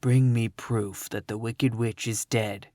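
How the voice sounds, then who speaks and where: A reader putting on Oz, the hushed, grave wizard. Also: fairly even intonation, no echo, clean studio sound, natural voice. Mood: stern. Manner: slow, low, hushed and grave. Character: great and terrible wizard, solemn and commanding.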